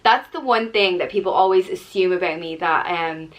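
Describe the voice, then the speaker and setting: A young Irish woman whose accent carries a Canadian tinge talks in a slightly low, easy voice. She reads each question aloud, then answers in a relaxed, chatty way, with small laughs between her thoughts. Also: moderate pace, low-quality recording. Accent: Irish with a Canadian-tinged lilt. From Ireland. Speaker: vlogger. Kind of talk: casual question-and-answer chat.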